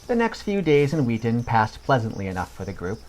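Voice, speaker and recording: A man narrating a scripted fantasy story as a podcast storyteller, in a measured reading manner. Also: mid-range voice, big pitch swings, darkish tone, slight background noise, rough recording.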